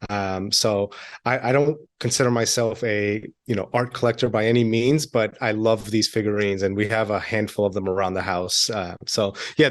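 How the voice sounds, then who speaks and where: A man in his thirties, born in Iran and raised near Washington DC, chatting as a guest over a call, friendly and quick to laugh. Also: fairly low pitch. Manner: friendly, laughs easily, filler-heavy. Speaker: entrepreneur guest. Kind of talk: casual interview chat.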